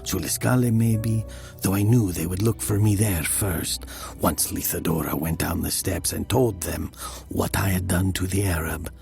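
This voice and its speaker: An actor playing a haunted, guilt-ridden killer, narrating his confession in a brooding, deliberate, sometimes hushed manner. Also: deep voice, steady tone, creaky. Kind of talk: dramatic first-person literary narration. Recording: music behind the voice. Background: music.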